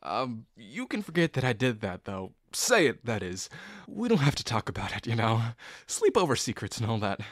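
A man's voice: mid-range voice, big pitch swings, no echo, studio quality. A man playing a shy, sincere young guy in love with his best friend, speaking hesitantly and intimately to the listener in an audio roleplay. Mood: nervous, tender, then awkward, flustered. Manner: hesitant, halting, full of pauses and sighs. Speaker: voice actor.

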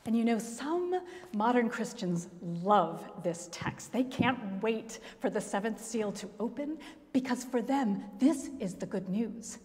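Woman preaching with long church reverb. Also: a little high. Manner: expressive storytelling with dramatic pauses and gestures. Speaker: pastor. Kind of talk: sermon. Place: large church, at a pulpit. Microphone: gooseneck pulpit mic.

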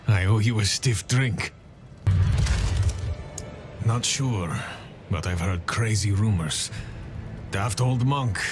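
Game soldier: gruff but friendly, burdened by guilt, deep register, slow and downbeat. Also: male voice. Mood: wry, weary. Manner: wry, downbeat, understated. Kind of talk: dramatic game dialogue.

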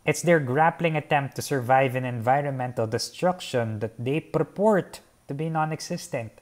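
Earnest young man explaining his painting. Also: mid-range voice. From Philippines. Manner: measured, earnest, formal wording. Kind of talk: reflective artist talk. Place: covered patio by a garden, outdoors.

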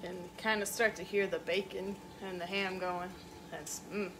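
A woman co-hosting a cooking show, making relaxed asides and reading out viewer comments. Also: mid-range voice, background noise. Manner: unhurried, laughs easily, relaxed asides. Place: kitchen. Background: frying and sizzling.

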